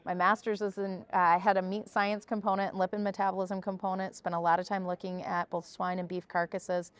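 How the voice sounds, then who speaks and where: A woman's voice: lowish voice, flat delivery, brisk, smooth. The woman scientist you'd hear on a research podcast panel, walking listeners through results point by point. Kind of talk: explanatory research discussion.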